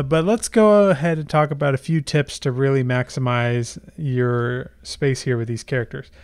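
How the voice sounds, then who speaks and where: A middle-aged man with a smooth, clear voice at an ordinary male pitch. He talks through a software tutorial in a casual, explanatory way, thinking aloud as he works. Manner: conversational, explanatory, thinking aloud while typing. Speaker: tutorial host.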